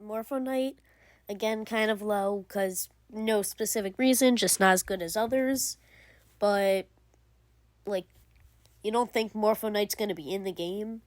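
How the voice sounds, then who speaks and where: A woman's voice: medium pitch, measured pace. A woman giving casual commentary as a gaming video creator, rambling with lots of fillers and self-corrections. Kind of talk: casual game commentary ranking.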